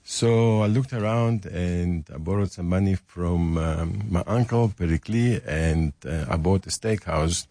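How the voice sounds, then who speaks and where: A man's voice: deep voice, measured pace, few pauses, warm tone, dry recording. An older man in his seventies with a Greek accent, telling his story in a joking, self-deprecating way as a guest in a studio interview. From Greece. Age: 70s. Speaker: restaurateur guest. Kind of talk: casual interview chat. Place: radio studio.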